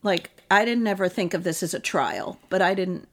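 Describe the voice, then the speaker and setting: A woman co-hosting a casual faith podcast, chatty and quick to joke. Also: lowish voice. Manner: chatty, jokes and laughs easily. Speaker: podcast co-host. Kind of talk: casual chat on faith and scripture.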